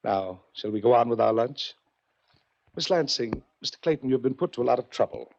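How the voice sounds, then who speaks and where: An actor playing a shrewd, composed, wealthy yacht owner in a 1940s radio mystery, measured and courteous. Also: male voice, mid-range voice, animated, gravelly, darkish tone, a little hiss or hum. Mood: composed, satisfied. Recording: dull vintage radio recording.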